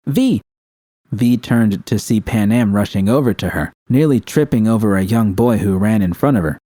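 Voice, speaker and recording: A man's voice: fairly low pitch, moderate pace, mellow, dry recording, clean studio sound. A man reading scripted fiction as the narrator.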